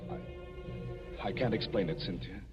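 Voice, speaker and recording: An actor playing an earnest, dutiful doctor holding back forbidden love, with a restrained, halting, sombre delivery in 1940s radio style. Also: male voice, medium pitch, huge pitch swings. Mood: grave, restrained. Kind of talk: 1940s radio drama acting. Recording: muffled, reverberant old radio, music behind.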